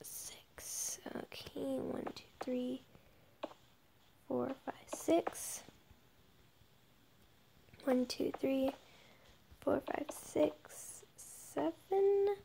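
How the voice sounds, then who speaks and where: High-pitched, creaky young woman gamer. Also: extremely slow, long pauses, dark tone, in a whisper. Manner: murmured counting under breath, thinking aloud. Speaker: gaming video creator. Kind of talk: casual game commentary while building. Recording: noisy home recording with clicks.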